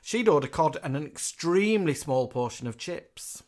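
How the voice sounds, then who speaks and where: A man's voice: on the high side, lively intonation, normal speed, a little mellow. A man from the UK co-hosting a casual live stream, chatting and reading out viewers' comments with a laugh.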